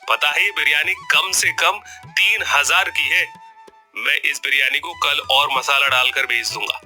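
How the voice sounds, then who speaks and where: A man's voice: a little high, a little slow, pushed and bright. A man voicing a greedy, scheming street food vendor in a grumbling, wily way. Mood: indignant. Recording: music bed behind the voice.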